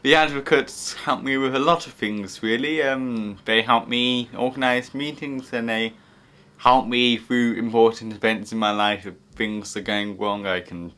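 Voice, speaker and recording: A young man with an English accent talks earnestly about the support he receives, hesitating now and then on fillers. His tone is bright and forward, at an ordinary pitch. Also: fairly even intonation, measured pace. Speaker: young person using the service. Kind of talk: interview testimony.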